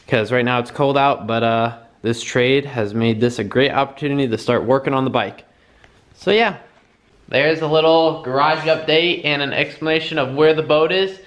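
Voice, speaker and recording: A young man giving a relaxed, rambling vlog update, filming himself with a handheld camera at arm's length outdoors and in a garage, with plenty of fillers. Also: medium pitch. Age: young adult. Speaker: vlogger. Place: outdoors at a storage lot, in a truck, and in a home garage.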